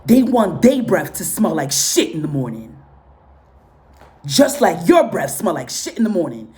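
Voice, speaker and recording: Blunt, low-voiced woman swearing freely. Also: very animated, measured pace, mellow. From Guatemala and Honduras. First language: Spanish. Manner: blunt, profane, emphatic and repetitive. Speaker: tarot and spiritual reader. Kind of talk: casual spoken reading with commentary.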